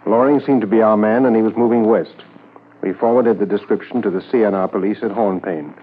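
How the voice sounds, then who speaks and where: An actor with a Canadian accent playing a measured, matter-of-fact Mountie inspector who narrates a manhunt in a 1950s radio drama. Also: male voice, fairly low pitch, breathy edge. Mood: sober, factual. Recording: tinny 1950s radio transcription, faint music.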